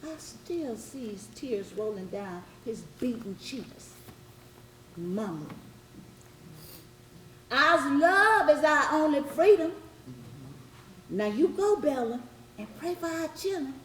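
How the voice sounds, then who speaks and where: A high, sweeping voice of a formerly enslaved Southern woman, speaking slow poetic plantation dialect. She is aching and defiant in love, delivering a stage monologue in a roomy theatre with an audience around her. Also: big pitch swings, a little hiss or hum, full character voice. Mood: grieving. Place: stage before a live audience.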